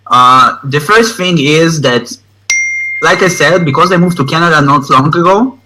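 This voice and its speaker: A man's voice: fairly high pitch, moderate pace, background noise. A man from Israel with an Israeli accent, speaking English as a third language, giving an emphatic, informal interview and repeating phrases for stress. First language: not English, which is a third language. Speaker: interview guest, online advertising student.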